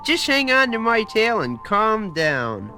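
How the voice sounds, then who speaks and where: A man's voice: sits high, sing-song, slow pace, music in the background, voice pushed up, full character voice. An actor voicing a kindly, heroic young panther who reassures his little brother. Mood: reassuring. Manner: reassuring, upbeat.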